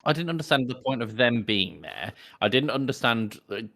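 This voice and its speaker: A man co-hosting a casual film podcast, explaining his points in a wordy, deliberate way and often correcting himself. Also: medium pitch, expressive, vocal fry, sharp tone. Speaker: podcast co-host. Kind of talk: casual film review chat.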